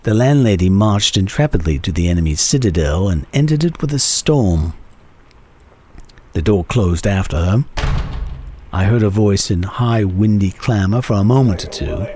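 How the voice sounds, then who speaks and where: A man giving a dramatic audiobook reading of old-fashioned prose, with measured, expressive phrasing. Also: on the low side, a little hiss or hum. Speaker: reader of a classic short story.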